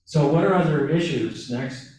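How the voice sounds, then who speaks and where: A man giving a webinar presentation, speaking haltingly with restarts and fillers, recorded from a distance in a meeting room. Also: on the high side, expressive, a little mellow, soft background music. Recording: echoey room, from far off.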